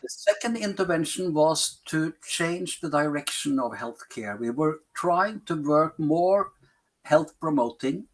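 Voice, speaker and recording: A middle-aged man with a Norwegian accent, speaking English as a second language in a podcast interview, pausing often to find words. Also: fairly high pitch, lively intonation, low-quality recording. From Norway. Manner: searches for words, frequent pauses and fillers. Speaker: health policy advisor.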